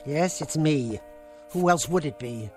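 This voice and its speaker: Sarcastic, biting woman, lively voice that swings up and down, radio play. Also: low-pitched, measured pace, soft background music. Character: sharp-tongued woman, scornful of the robot. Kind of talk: radio drama acting.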